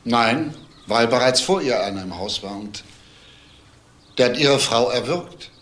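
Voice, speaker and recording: An actor playing a composed, grave male police detective in a crime drama, speaking in a measured, understated way. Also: mid-range voice, lively intonation, fairly bright tone. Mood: matter-of-fact, probing. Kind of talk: screen crime drama dialogue. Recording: distant mic, noisy background.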